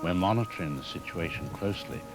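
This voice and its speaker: A man playing a calm animal behavior expert, explaining things formally and reassuringly in an audio drama news interview. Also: sits low, quick pace, over loud music. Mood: cautious, reassuring.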